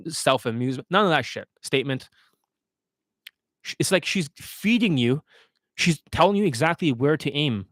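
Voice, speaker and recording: A man's voice: medium pitch, no echo. A man speaking as a dating coach on a livestream, answering questions in a blunt, instructional way with frequent rhetorical check-ins.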